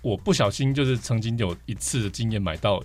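A man's voice: lowish voice, brisk, faint music behind. Taiwanese man telling stories as a guest. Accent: Taiwanese Mandarin. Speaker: podcast guest, vinyl record collector. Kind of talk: casual interview storytelling.

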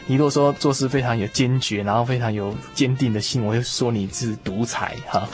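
A man's voice: mid-range voice, fairly even intonation, brisk, faint music behind. A man hosting a conversational discussion of faith in a reflective, explanatory way. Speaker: radio talk show host.

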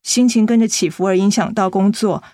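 An actress playing a gentle, guilt-ridden young woman in a Mandarin radio drama, apologetic and hesitant, with a Taiwanese accent. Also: mid-range voice, steady tone, brisk, no echo, studio quality, close to an everyday voice. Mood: apologetic, pained.

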